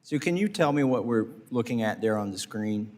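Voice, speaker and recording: A congressman questioning witnesses at a committee hearing, halting with restarts and fillers. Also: medium pitch, animated, darkish tone, long reverb tail. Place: hearing room.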